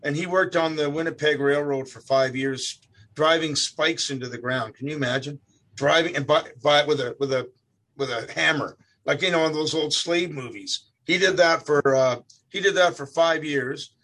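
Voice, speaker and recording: An older man from Canada, a guest musician telling stories about his family in a relaxed, rambling way, often repeating words. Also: fairly high pitch, normal speed. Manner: rambling, repeats words, animated when quoting. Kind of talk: relaxed storytelling.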